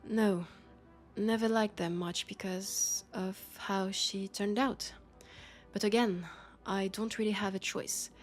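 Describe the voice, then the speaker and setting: A woman with a French accent playing a weary, guarded policewoman confiding quietly and hesitantly in an intimate sci-fi audio roleplay. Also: mid-range voice, natural voice.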